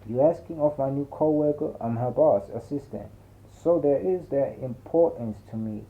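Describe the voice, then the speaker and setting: A man's voice: medium pitch, moderate pace. A man narrating an audiobook in a dramatic, conversational first-person style with slangy, emphatic delivery. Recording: muffled narrow phone-like audio.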